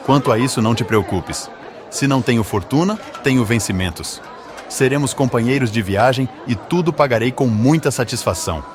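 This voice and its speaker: A man's voice: medium pitch, animated, fairly quick, lightly acted. Man playing mild physician amid crowd noise. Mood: reassuring. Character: Luke, generous, mild male ship's physician. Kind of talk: dramatized audiobook acting.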